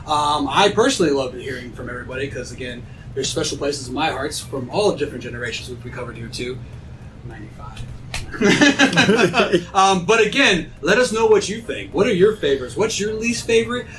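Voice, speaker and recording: A man's voice: on the high side, animated, a little fast, distant mic, low-quality recording. A man hosting a casual fan panel, chatting in a rambling, opinionated, joking way. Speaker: host of a fan discussion panel. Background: music.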